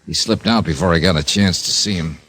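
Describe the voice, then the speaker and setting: An actor playing a cool, skeptical insurance investigator in an old-time radio drama, speaking in a dry, clipped, matter-of-fact manner. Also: male voice, low-pitched, fairly quick, a bit bright. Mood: brisk, probing. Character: cool, skeptical male insurance investigator, polite but wry.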